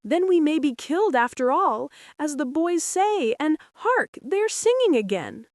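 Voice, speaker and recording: A woman's voice: sits high, very animated, unhurried, no echo, studio quality, voice pushed up. A woman voicing a frightened, reluctant young stork in a storybook, childlike and questioning. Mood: anxious. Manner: anxious, childlike questioning and protesting.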